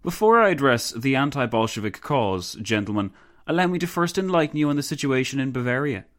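A male narrator plays a Bavarian minister in a historical audiobook. The delivery is formal oratory, pitched up from the plain narrating voice. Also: expressive, a little fast, a bit bright, lightly acted. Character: formal Bavarian male politician opening a speech.

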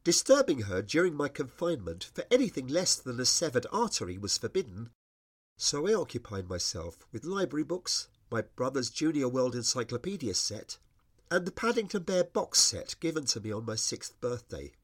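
Animated British man reading wry memoir. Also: mid-range voice, moderate pace, breathy edge. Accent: British English. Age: middle-aged. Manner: wry, expressive storytelling. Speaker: writer reading own personal essay. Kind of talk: scripted narration of humorous memoir.

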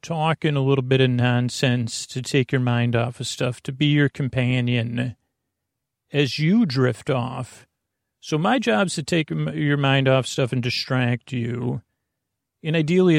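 Gravelly guy rambling on a bedtime podcast, keeps losing his thread, super dry close sound. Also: medium pitch, no echo. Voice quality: gravelly, creaky. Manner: meandering, over-explaining, trails off mid-thought. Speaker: podcast host.

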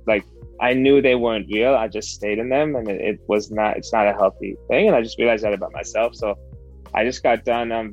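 A man in his thirties casually telling his own love story in a relaxed, rambling way, with plenty of fillers. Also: medium pitch, muffled recording. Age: 30s. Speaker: interviewee.

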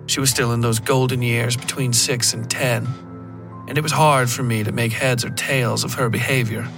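A man reading a scripted horror story as a podcast narrator, in a measured, literary delivery with deliberate pauses. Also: mid-range voice, steady tone, music in the background.

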